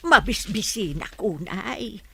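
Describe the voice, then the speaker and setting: Radio drama role: forgetful, hungry elderly grandmother; muddled, plaintive, slow delivery, lively pitch swings. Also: vocal fry, slight background noise, heavily acted. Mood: puzzled, forgetful. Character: hungry, forgetful, confused old village grandmother. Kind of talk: Ilocano radio drama acting.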